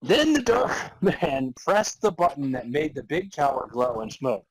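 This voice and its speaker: A man's voice: on the high side, animated, unhurried, husky, dry recording, rough recording. A man narrating a comic story in a theatrical way, prone to breaking into laughter. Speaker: narrator and podcast host. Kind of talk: dramatic reading of comic fan fiction.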